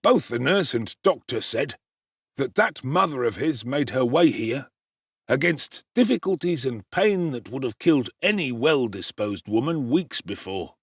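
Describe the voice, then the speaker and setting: A man voicing a pompous, self-important male parish beadle who lectures with slow, stern emphasis. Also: mid-range voice, lively intonation, a bit bright, slightly rough, no room sound, studio quality, pitched above the performer's own voice, full character voice.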